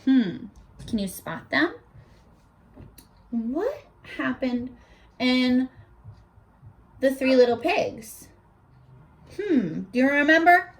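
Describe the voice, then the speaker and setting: A teacher reading a picture book aloud to children, animated and stopping often to ask them questions. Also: female voice, high voice, drawn-out words, long pauses, low-quality recording.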